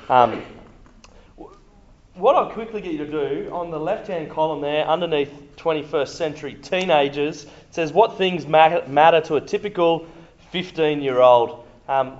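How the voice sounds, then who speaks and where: A man with a croaky voice, leading an interactive workshop talk before a live audience in a room. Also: a little high, darkish tone, slight background noise. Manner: chatty, upbeat, quizzes the audience. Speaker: workshop presenter on youth ministry. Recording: roomy, muffled room recording.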